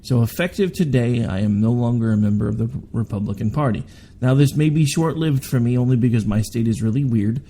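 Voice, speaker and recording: A radio host in his forties, worn down by long weeks of work, talks alone to his listeners in a loose, rambling way. His voice sits in a middle register with a mellow, darker color. A faint hum runs under the recording. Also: mid-range voice, a little fast. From Oklahoma, United States. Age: 40s. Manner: rambling and candid, with asides and self-corrections. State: tired from overwork. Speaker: radio talk show host. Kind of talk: solo political talk radio monologue.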